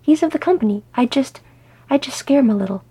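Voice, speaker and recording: A woman voicing a gruff, protective, grumbling old male dwarf father in a fantasy story. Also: mid-range voice, fairly even intonation, unhurried, warm tone. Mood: indignant.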